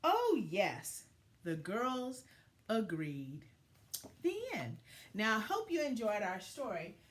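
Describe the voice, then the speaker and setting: A woman teacher giving an expressive read-aloud lesson for young children, with deliberate, dramatic phrasing. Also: medium pitch.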